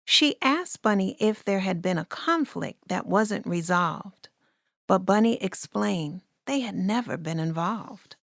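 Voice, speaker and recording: A woman reading a rhyming children's story aloud in a deliberate, expressive way as its narrator. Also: mid-range voice, no room sound, clean studio sound.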